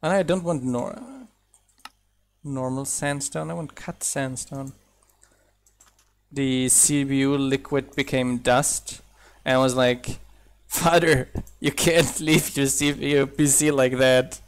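Man with slight German accent, slow. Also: mid-range voice, fairly even intonation. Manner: chatty, thinks aloud with restarts and laughs. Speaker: mod developer streaming gameplay. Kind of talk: casual live-stream chat while playing.

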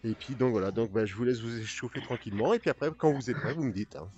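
A man's voice: medium pitch, quick pace, a little mellow, slightly rough, dull audio. A man hosting a casual VR table tennis final over voice chat, chatty and repeating his words. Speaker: tournament host. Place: voice chat while playing VR.

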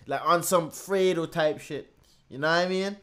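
A man in his twenties giving casual, slangy and animated commentary as he reacts to music. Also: on the high side, normal speed. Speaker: reaction video host. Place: small indoor room at home. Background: music from the video he is watching.

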